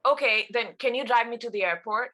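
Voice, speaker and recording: A woman's voice: on the high side, brisk, bright, forceful tone. A woman acting an exasperated, pleading adult daughter in a comedy table read. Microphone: video call.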